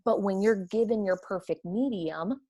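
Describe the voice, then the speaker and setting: A woman with a Midwestern American accent, chatting energetically as a podcast guest. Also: mid-range voice. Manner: energetic, chatty, quick to laugh. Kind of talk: casual conversation.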